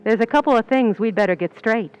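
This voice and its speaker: A woman's voice: a little high, lively intonation, quick pace, darkish tone, faint music behind, close to an everyday voice, rough recording. A woman playing a cool, ambitious, scheming young Hollywood stand-in in an old-time radio suspense play, with hard-boiled, knowing delivery. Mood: guarded, coaxing. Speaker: actress in a community playhouse radio play. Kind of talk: old-time radio suspense acting with first-person narration.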